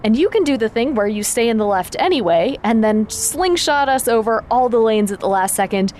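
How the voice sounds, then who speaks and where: A woman's voice: fairly high pitch, animated, a little fast, a little mellow, slight background noise, close to an everyday voice. A woman playing an easygoing, wry road-trip navigator in a naturalistic audio drama, casual and teasing. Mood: dryly teasing.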